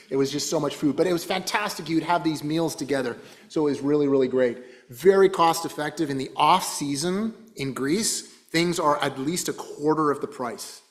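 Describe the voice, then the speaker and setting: A man giving an informal slide talk to a church class, chatty, with many fillers and restarts. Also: a little high. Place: church hall.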